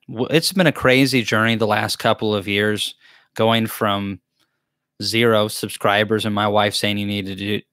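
A man's voice: lowish voice, fairly bright tone, dry recording, clean studio sound. Chatty man hosting a live chat. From Tennessee, US. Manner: chatty, rambling, talks in restarts. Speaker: beekeeping channel host. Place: small wood-paneled room indoors. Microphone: desk mic close to camera.

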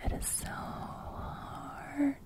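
A young adult woman whispering soothingly for an ASMR roleplay close to the microphone, with a breathy crackle that edges into soft speech. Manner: soothing, slow, reassuring. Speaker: ASMR host. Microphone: large studio microphone, very close.

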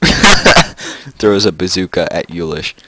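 A man's voice: deep voice, wildly swooping pitch, slow, pushed and bright, no echo. A teenage boy chatting casually while playing a game, goofy and teasing, laughing often. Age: teens. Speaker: gamer recording gameplay. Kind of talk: casual gameplay chat.

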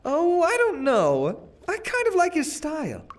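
Man as flamboyant male wizard, sardonic, slow drawl, very animated pitch, cartoon. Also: full character voice. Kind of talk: scripted cartoon acting.

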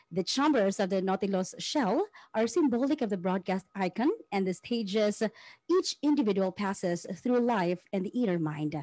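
A woman's voice: medium pitch, mellow, dry recording. A woman co-hosting and reading out a prepared explanation. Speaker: co-host.

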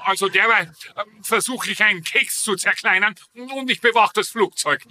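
Casting: good-natured, boastful guard, high bright voice, sitcom dub. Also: male voice, normal speed, a little hiss or hum. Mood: sheepish, evasive. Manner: folksy, hesitant, repeats himself for emphasis. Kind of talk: dubbed TV sitcom acting.

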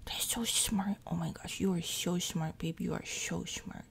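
A woman talking in a soft, breathy half-whisper, rambling and repeating herself in a casual ASMR chat while recovering from jaw surgery. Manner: rambling, repeats words in quick runs. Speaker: ASMR roleplay performer. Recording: low-quality audio with steady background machine noise.

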